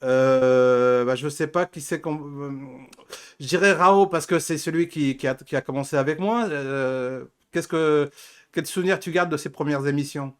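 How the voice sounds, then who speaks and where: A man's voice: a little high, normal speed, clean tone. A middle-aged man hosting a show in casual, chatty talk. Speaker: show host. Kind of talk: casual live show chat.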